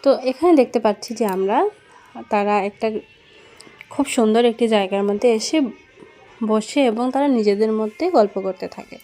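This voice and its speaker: A woman's voice: on the high side, normal speed, long pauses, warm tone, faint music behind. A woman narrating a children's cartoon story as a storyteller.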